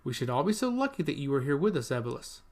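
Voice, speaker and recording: A man voicing a loyal, resolute female ship captain who speaks solemnly and calmly. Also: medium pitch, fast.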